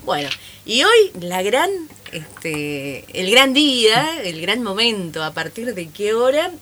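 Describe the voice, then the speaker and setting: A woman hosting a casual radio interview, teasing her guests and quick to laugh. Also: mid-range voice, big pitch swings, bright, forceful tone, a little hiss or hum. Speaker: radio host.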